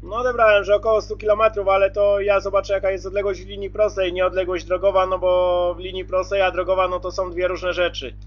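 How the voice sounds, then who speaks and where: A man's voice: high-pitched, monotone, fast, hardly any pauses, lo-fi sound. A man talking casually as a CB radio operator, repeating himself as he checks and confirms reception. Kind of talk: casual radio contact talk.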